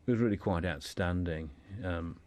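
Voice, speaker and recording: Low-voiced man, halting explainer. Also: a little mellow. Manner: halting, repeats words as he explains. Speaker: guest discussing a writer. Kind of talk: informal radio discussion.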